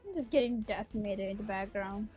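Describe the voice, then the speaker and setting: A young woman from Malaysia giving casual live-stream commentary on a game, with a husky voice. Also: mid-range voice, fairly quick, darkish tone, soft background music. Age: young adult.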